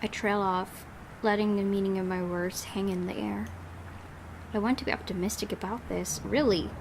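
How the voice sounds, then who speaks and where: A woman streamer reads a game's story aloud and thinks out loud about it in a mid-range voice. A steady hiss of noise runs underneath the recording. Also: mid-range voice, moderate pace, gravelly. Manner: thinking aloud, puzzling over the plot. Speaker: game streamer. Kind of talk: Let's Play commentary and reading aloud.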